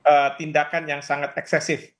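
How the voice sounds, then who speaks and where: A man giving expert analysis in an interview, explaining in a halting way. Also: a little high, flat delivery. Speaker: expert guest, professor.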